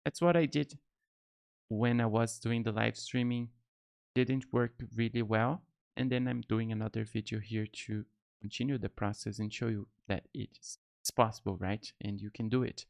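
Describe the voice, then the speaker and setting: Gravelly Brazilian man, smooth close mic. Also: lowish voice, steady tone, normal speed, darkish tone. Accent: Brazilian Portuguese. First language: Portuguese. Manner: friendly, rambling, many fillers and restarts. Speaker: no-code tutorial host. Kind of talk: casual talk to camera. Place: small home studio, indoors. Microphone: close studio mic on boom arm.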